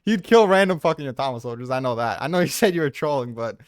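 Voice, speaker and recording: A man's voice: mid-range voice, expressive, fast, dry recording, studio quality. A man debating casually with a blunt, combative manner and plenty of swearing. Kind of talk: casual debate about a manga ranking.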